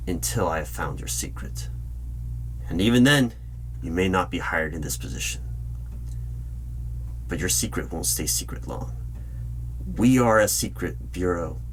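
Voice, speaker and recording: A man playing a stern, suspicious hiring manager at a secret bureau, questioning deliberately and insistently in a loud-spoken ASMR interview roleplay. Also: low-pitched, rough recording. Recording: clear hum, computer keyboard typing noise. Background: keyboard typing.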